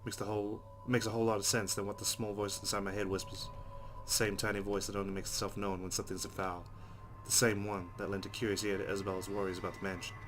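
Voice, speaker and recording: A man's voice: fairly low pitch, fast talker, hardly any pauses, soft background music. A man reading visual novel narration aloud and adding casual reactions of his own. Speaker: let's play host reading game text aloud. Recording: steady loud background noise.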